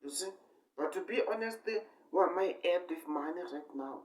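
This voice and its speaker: A man in his twenties giving a rambling improvised monologue in halting, repetitive short phrases with sudden emphatic bursts. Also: a little high, expressive, a little mellow, slight background noise. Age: 20s. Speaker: amateur online speaker. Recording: distant microphone.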